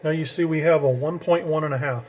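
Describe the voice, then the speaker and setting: A man's voice: fairly high pitch, fairly even intonation, fast, darkish tone, slight background noise. A man explaining radio setup in a casual, rambling how-to video while handling gear. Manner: rambling, hands-on explaining with restarts. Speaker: CB radio hobbyist. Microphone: camera mic, handling gear while talking.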